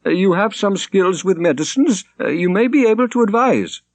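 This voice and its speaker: A man's voice: high voice, lively intonation, normal speed, no echo, voice pushed up. A man voicing an anxious, flustered village priest in an audiobook, hesitant and agitated as he pleads. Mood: agitated, pleading. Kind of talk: audiobook character reading.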